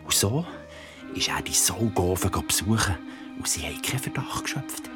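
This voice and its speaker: A man's voice: low-pitched, lively intonation. An actor with a Swiss German accent playing a menacing, coughing father who tells a gruesome bedtime story in an audio drama. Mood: sinister, hushed. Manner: slow, obsessive, repeating words for menace. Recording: loud music under the voice.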